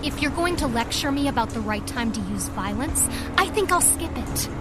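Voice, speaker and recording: A defiant teenage girl vigilante talks in a high, punchy voice. She is sarcastic and impatient, in an audio drama with clear music underneath. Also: animated, normal speed.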